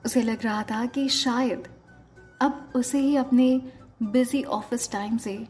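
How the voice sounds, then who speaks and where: A woman's voice: a little high, steady tone, slow, a little mellow, faint music behind. A woman narrating an emotional audio story as a storyteller. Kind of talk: dramatic story narration with acted dialogue.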